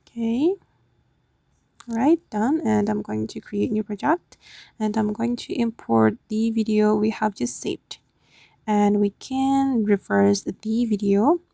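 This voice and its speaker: A woman's voice: medium pitch, gravelly, warm tone, dry recording. A woman hosting a casual step-by-step app tutorial, explaining it off the cuff in a slightly hesitant way. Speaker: tutorial host.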